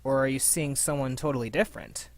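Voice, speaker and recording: A woman's voice: extremely low pitch, quick pace. A woman hosting a casual scripted Q&A in an informal, playful, sweary manner. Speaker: host and voice actor of a fan abridged series.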